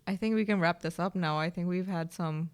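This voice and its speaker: A woman hosting a casual podcast, friendly and quick to agree with her guest. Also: lowish voice, smooth. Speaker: podcast host.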